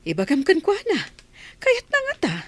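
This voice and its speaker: A woman's voice: very high-pitched, huge pitch swings, normal speed, heavily acted. A woman with an Ilocano accent playing a prying, concerned village mother in a radio comedy drama. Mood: prying, teasing. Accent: Ilocano Filipino.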